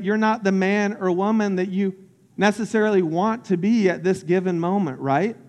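A man's voice: high voice, big-room sound. A man from Central Florida, a pastor giving an earnest, personal sermon that builds in intensity.

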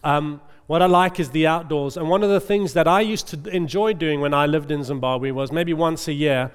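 Middle-aged male preacher, resonant voice pitched a little high, chatty sermon delivery, long hall reverb. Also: moderate pace, clear, resonant tone. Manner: chatty, checks in with the congregation with "amen?". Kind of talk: conversational sermon.

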